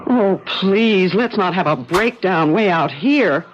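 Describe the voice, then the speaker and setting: A woman's voice: medium pitch, animated, takes it slowly. An actress playing an anxious, restless and imaginative married woman traveller in an old-time radio drama. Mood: fretful. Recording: muffled, very noisy old radio recording.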